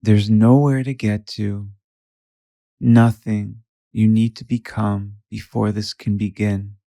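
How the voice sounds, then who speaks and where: Slow, low-voiced man guiding meditation. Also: flat delivery, vocal fry, dry recording, clean studio sound. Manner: soft, soothing, with long pauses between short phrases. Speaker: meditation guide. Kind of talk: guided meditation.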